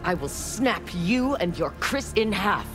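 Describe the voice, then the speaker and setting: A cold, menacing armored clan warrior speaks in clipped, deliberate lines. Her delivery is slow and level in pitch. Faint game music plays under the fighting-game banter. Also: big pitch swings. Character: stern armored female cyborg-like clan warrior. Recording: game audio with music behind.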